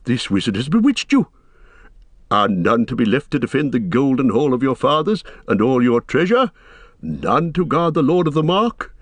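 A man's voice: mid-range voice, sing-song, normal speed, no echo, voice pushed up. A man voicing a cringing, sly royal counsellor in a fantasy storybook, whining and wheedling with obsequious politeness. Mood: alarmed, scheming. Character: cringing, servile, scheming male royal counsellor, sly and pleading.

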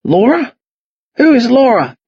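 A man playing a bewildered old Australian man, husky. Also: very high-pitched, sing-song, dry recording, dull audio, pitched above the performer's own voice, exaggerated voice. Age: older. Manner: halting, exclaiming, questioning. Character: confused old man, lonely, bewildered, pleading.

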